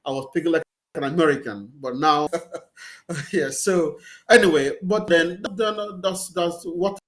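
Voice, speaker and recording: A middle-aged Nigerian-accented man speaks in a fairly high voice for a man, heard over a video call from a small room. He pauses and repeats words while he looks for the right phrasing, in an easy conversational way. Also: fairly bright tone. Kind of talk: casual interview conversation. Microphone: video call, laptop or webcam.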